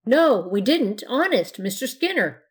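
A woman's voice: fairly high pitch, lively intonation, unhurried, a little mellow. Woman doing a shaky, timid little trick-or-treater. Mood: pleading. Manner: trembling, shaky voice. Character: timid ghost-costumed child, pleading and shaky. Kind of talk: storybook character voice in narration.

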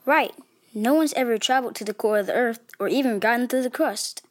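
A woman's voice: a little high, brisk, no echo. A woman co-host giving scripted science narration for kids with upbeat quips.

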